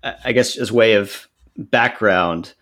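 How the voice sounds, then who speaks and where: A man in his thirties from Central Texas, a podcast guest who explains his views in an earnest, measured way. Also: on the low side, fairly bright tone. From Central Texas, USA. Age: 30s. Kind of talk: podcast interview answers.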